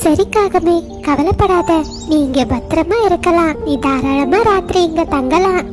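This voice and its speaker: A woman voicing a loving, hardworking mother sparrow in a cartoon, speaking softly and reassuringly. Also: very high voice, a little slow, over music. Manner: soft, tender, reassuring.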